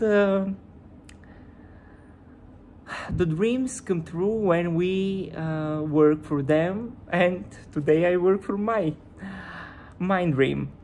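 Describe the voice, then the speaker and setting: A woman with a Bulgarian accent, a native Bulgarian speaker, talking hesitantly to camera in a casual vlog and often pausing to search for words. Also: low-pitched, darkish tone, slight background noise. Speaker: YouTuber.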